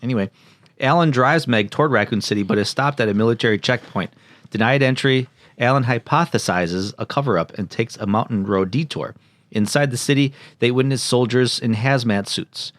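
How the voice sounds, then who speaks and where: A man's voice: medium pitch, brisk, a bit bright, no echo. A man co-hosting a podcast, casually reading a plot recap from notes and joking along. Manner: jokey, prone to laughing. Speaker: podcast co-host.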